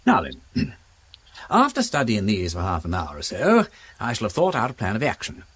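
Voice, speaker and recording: An actor playing an eccentric, playful English aristocrat detective with an upper-class accent, whimsical, wordy and commanding in a radio drama. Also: male voice, lowish voice, wildly swooping pitch, moderate pace, fairly bright tone, slight background noise. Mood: breezy, explaining.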